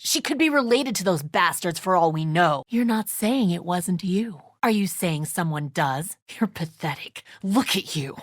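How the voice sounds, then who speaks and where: A woman's voice: lowish voice, lively intonation, moderate pace, hardly any pauses, no echo, clean studio sound. A woman acting an embattled, suspicious, volatile survivor in a zombie video game, with a confrontational, accusing manner. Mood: accusing, bitter.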